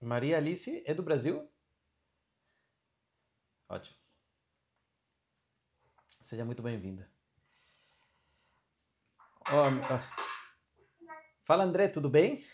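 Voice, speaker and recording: A man teaching a casual online class on Vedic studies and Ayurveda over a video call, relaxed and often checking in with his listeners. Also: fairly high pitch, takes it slowly, long pauses.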